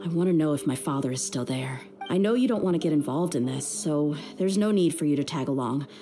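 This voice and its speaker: A resolute young female desert mercenary from a video game. Her voice is low, with a tough, loyal air. Music is clearly heard behind her. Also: expressive, fairly quick, dark tone.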